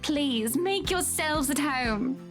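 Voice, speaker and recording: A woman playing a gracious, welcoming hostess in visual novel dialogue, with a formal, measured manner. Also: sits high, animated. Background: music.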